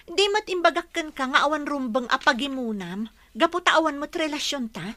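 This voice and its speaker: Principled young Filipino daughter, high sharp voice, hurt, dry studio sound, radio drama. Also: female voice, lively intonation, fairly quick, no room sound. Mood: upset. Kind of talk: Ilocano radio drama acting.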